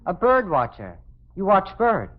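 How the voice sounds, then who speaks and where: A man's voice: high voice, huge pitch swings, measured pace, exaggerated voice. A man playing an earnest, hapless teenage son with an American accent in a 1950s radio sitcom, boyish, sheepish and persistent. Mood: hopeful. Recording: muffled old radio recording.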